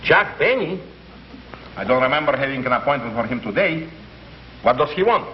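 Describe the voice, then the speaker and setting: A man playing a busy, excitable Hollywood film director in a 1950s television sitcom, with emphatic delivery. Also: mid-range voice, normal speed, lightly acted. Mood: exasperated. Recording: dull, noisy 1950s TV recording.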